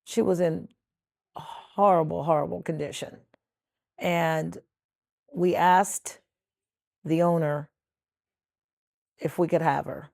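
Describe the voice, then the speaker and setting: A woman's voice: sits low, steady tone, takes it slowly, vocal fry, long pauses, a little mellow, no echo, studio quality. A middle-aged woman from Trinidad and Tobago, a sanctuary founder speaking earnestly and reflectively in a documentary interview. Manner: earnest, reflective, with frequent fillers.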